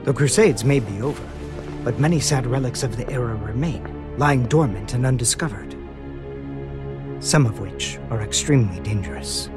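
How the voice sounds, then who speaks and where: Guy voicing polite young scientist in an anime game, measured n formal, solemn, music playing behind. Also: mid-range voice, close to an everyday voice. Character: soft-spoken, solemn, polite young man, a feared scientist. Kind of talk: dubbed animated game cutscene acting.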